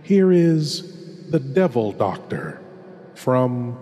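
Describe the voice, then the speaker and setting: A man playing a courtly, sinister horror host, introducing tales in a hushed, ominous and ornate manner. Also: mid-range voice, sing-song, very slow, soft background music. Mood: ominous, sly. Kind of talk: theatrical scripted horror introduction. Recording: long cavernous reverb.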